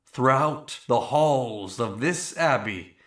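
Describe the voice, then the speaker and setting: A man's voice: medium pitch, lively intonation, slow, fairly bright tone. An actor playing a flamboyant, arrogant prince in an audio drama, grandiose and declamatory as he savors his words. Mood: grand, exultant. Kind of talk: theatrical audio drama acting.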